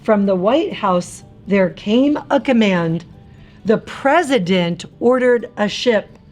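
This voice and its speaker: Slow-paced woman reading a children's storybook. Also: medium pitch, animated, darkish tone, soft background music. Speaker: read-aloud narrator of a children's picture book. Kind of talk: expressive rhyming storybook narration for young children.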